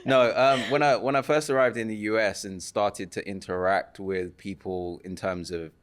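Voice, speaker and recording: A British man with a lowish voice who talks through his experiences in a calm, considered way. He is a guest on a casual podcast, thinking aloud as he goes. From United Kingdom. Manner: measured, reflective storytelling.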